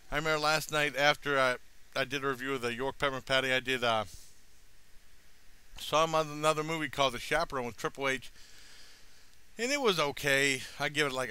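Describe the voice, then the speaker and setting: A man's voice: medium pitch, bright, forceful tone, smooth. A middle-aged American man giving a casual, rambling review in a laid-back, plain-spoken way, recorded on a headset at home. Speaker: product reviewer, vlogger. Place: small room at home.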